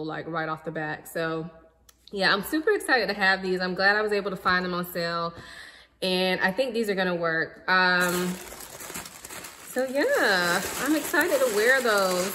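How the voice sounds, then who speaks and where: A woman's voice: on the low side, in a large hall. A woman in her thirties chatting casually to viewers about things she bought, seated at home in front of the camera. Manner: chatty, relaxed, addresses viewers as y'all. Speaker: vlogger. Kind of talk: casual haul vlog chat. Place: living room at home, indoors.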